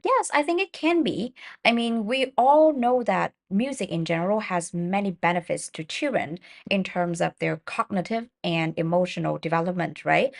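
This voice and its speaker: Woman reading sample speaking answers. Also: mid-range voice. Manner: announces each question, then reads sample answers in a steady, explanatory way; occasional fillers and small restarts. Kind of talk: reading model exam answers aloud.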